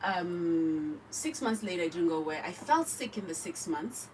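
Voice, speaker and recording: A Zimbabwean woman with a Zimbabwean accent, a radio guest telling her own story in a candid, animated way. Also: lowish voice, moderate pace, recorded from a distance, slight background noise, rough recording. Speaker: radio guest, cancer survivor. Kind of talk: personal story told in a radio interview. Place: radio studio.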